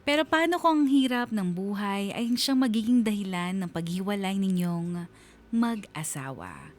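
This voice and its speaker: A woman radio host reading a listener's letter as heartfelt, dramatic scripted narration. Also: mid-range voice.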